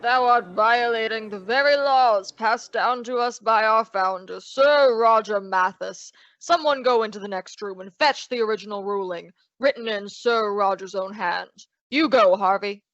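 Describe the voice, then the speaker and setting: A woman's voice: mid-range voice, moderate pace, hardly any pauses, fairly bright tone, no room sound, lightly acted. A woman playing a pompous, indignant male traditionalist with declamatory, archaic speech in a radio drama. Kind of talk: old-time radio drama acting. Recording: dull lo-fi audio.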